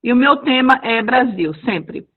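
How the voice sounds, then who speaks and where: High-pitched woman on a muffled call. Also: lively intonation, a little slow, husky. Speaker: heritage language storyteller. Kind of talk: casual webinar talk. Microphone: video call. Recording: dull lo-fi video call audio.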